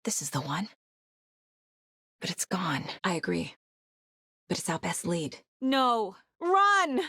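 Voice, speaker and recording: A woman playing a determined, sharp investigator in a horror video game, measured and introspective, often as if talking to herself. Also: on the low side, huge pitch swings, breathy edge, no echo, studio quality, full character voice. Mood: focused, pensive, then distressed, urgent. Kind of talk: cinematic video game acting, naturalistic.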